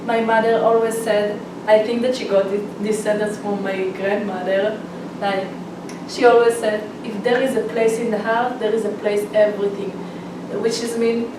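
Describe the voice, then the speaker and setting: A woman from Israel rehearsing a personal speech, halting, with restarts and non-native grammar slips. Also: on the high side, noisy background. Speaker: public speaking student. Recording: roomy, distant mic, background ambience.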